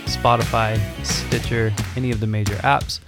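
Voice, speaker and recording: Outro voice-over, monotone delivery, loud plucked guitar music behind. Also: medium pitch, normal speed. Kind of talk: podcast outro read.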